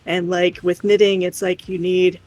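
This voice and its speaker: A woman's voice: lowish voice, little pitch movement, normal speed, a little mellow, muffled recording, faint music behind. A woman co-hosting a crafts livestream, chatting casually and playfully with her viewers. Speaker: co-streamer doing crafts.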